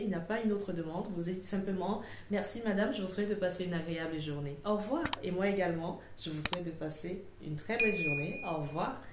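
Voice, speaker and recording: A woman presenting an informal lesson in a didactic way, with hesitant phrasing and frequent pauses. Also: mid-range voice, slight background noise. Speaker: presenter of a customer service channel. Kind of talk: informal instructional talk to camera. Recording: echoey, roomy sound.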